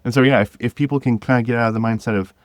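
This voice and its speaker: A man's voice: mid-range voice, fairly even intonation, very fast, dry recording, studio quality. A man speaking as a podcast guest, chatting casually and earnestly, rephrasing as he goes. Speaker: podcast guest, creator of a video podcast drama. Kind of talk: casual interview chat.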